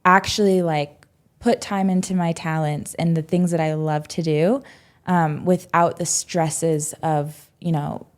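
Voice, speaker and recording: A woman's voice: on the low side, darkish tone. A woman in her twenties, a podcast guest telling her story reflectively, with many "um"s and "like"s. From United States. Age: 20s. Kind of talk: casual podcast interview.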